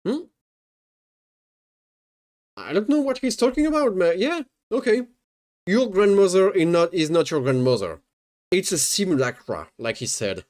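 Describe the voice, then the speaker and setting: A man's voice: sits high, sing-song, moderate pace, long pauses, a little mellow. A man streaming, sarcastically mocking a video as he watches it, often stammering and swearing. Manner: sarcastic, swears, jokes and mocks; stammers and repeats words. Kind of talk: casual reaction commentary.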